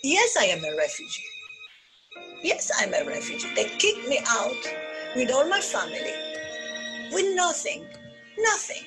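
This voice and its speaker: A woman speaks with passion and emphasis in a documentary clip. Her high voice swings widely in pitch. Music plays clearly behind her. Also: huge pitch swings, a little slow, long pauses, rough recording. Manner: impassioned, emphatic. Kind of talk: documentary testimony.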